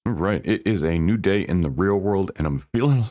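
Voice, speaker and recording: A man with a very low voice talks through a block-building game session in a loose, rambling way. He corrects himself now and then and sounds a little congested. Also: a little fast, dull audio. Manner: relaxed and rambling, with self-corrections and little laughs. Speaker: Minecraft builder and channel host. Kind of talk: casual gameplay commentary.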